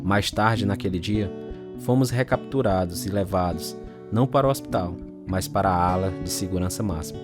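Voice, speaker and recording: A man's voice: low-pitched, normal speed, music in the background, natural voice. An actor playing a troubled recovering alcoholic man, narrating his life story in an earnest, confessional way. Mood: somber, reflective. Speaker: narrator and protagonist. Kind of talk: dramatized testimony narration with acted scenes.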